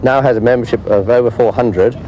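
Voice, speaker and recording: A man's voice: medium pitch, fairly even intonation, fairly quick, dark tone, muffled recording. A man answering informally as a club spokesman in an interview. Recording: noisy outdoor location recording.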